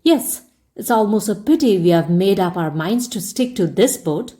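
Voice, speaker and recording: A woman's voice: mid-range voice, animated, moderate pace, warm tone, lightly acted. A woman reading the lines of a wry young Englishman on a boat trip in a storybook. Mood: wistful. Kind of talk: storybook character dialogue.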